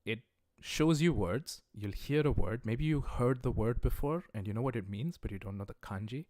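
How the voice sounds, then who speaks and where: A man teaching a livestreamed lesson in a relaxed, conversational way, with many "uh" and "um" fillers, encouraging viewers as he recommends study resources. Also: mid-range voice, moderate pace, no echo. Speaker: language teacher.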